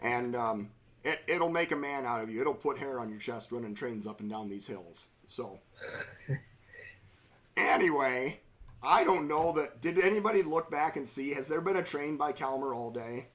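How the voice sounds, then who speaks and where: A middle-aged man hosting a live train-watching stream, chatting casually in railroad jargon, his voice slightly hoarse from a cold. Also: mid-range voice, lively intonation, a little slow, fairly bright tone. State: slightly hoarse, under the weather.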